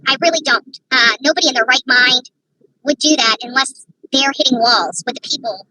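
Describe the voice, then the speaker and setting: A woman's voice: sits high, moderate pace, noisy background. A woman giving opinionated, rambling livestream commentary from her phone, often breaking into indignant rhetorical questions. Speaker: online commentator.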